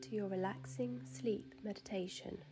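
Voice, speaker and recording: A woman guiding a sleep meditation in a soothing, hushed manner, with soft breathy phrase endings and drawn-out pauses. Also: medium pitch, steady tone, background noise. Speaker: meditation guide. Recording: piano music and waves behind. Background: relaxing piano music and ocean waves.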